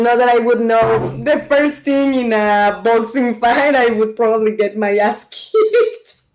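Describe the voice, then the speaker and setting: A woman's voice: mid-range voice, darkish tone. A woman from Mexico, chatting casually with her viewers while playing a farming game. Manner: chatty, talks to her viewers, reacts aloud. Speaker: streamer. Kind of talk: casual gameplay commentary.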